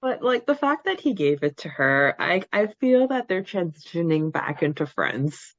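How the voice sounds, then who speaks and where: Lower-pitched woman podcaster, slightly dull dry sound. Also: very animated, normal speed, dry recording. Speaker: podcast co-host. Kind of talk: casual fan discussion chat.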